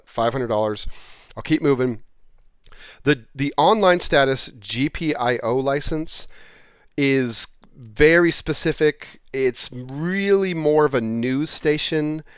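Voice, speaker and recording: A man presenting software licensing in an informal, conversational way, explaining as he goes and rambling into asides. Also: medium pitch, smooth, dry recording. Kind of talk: informal product walkthrough.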